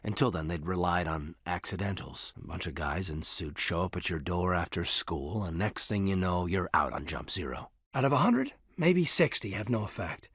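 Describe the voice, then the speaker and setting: An actor playing an earnest, guarded military officer in a video game, with a husky voice and a respectful, formal manner. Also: male voice, low-pitched, expressive, moderate pace, hardly any pauses, fairly bright tone, dry recording, studio quality, exaggerated voice. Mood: explaining, serious. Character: earnest, guarded young soldier with biotic powers, a loyal officer.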